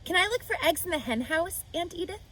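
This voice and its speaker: A woman voicing an excited, bossy little girl. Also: very high-pitched, brisk, voice pushed up, heavily acted. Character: bold, excitable young farm girl.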